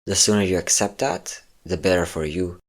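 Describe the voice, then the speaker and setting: Deep-voiced, smug vampire lord played by a man. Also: expressive. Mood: smug, possessive. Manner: smug, teasing, drawn-out endearments. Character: possessive, mocking male vampire lord, obsessive lover. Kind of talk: intimate audio roleplay acting.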